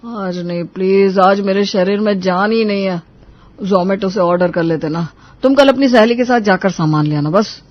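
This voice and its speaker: A young office worker played by a man in an audio drama, with a high-pitched voice. He reasons and placates in everyday colloquial speech, and the recording is dull and muffled. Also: normal speed, lightly acted. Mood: weary, apologetic. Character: young office worker, weary husband or advising friend.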